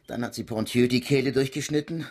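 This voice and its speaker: A man's voice: mid-range voice, fairly even intonation, a little fast, darkish tone, lightly acted. An actor playing an earnest, sceptical male police inspector in a radio crime drama, arguing his case emphatically. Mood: explanatory. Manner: emphatic, argumentative.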